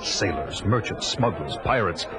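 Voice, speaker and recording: A man's voice: low voice, expressive, measured pace, a crowd in the background. An actor playing a rugged barbarian hero in an audio drama, telling his adventure in grand, florid, dramatic style. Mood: dramatic storytelling. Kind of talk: audio drama first-person narration.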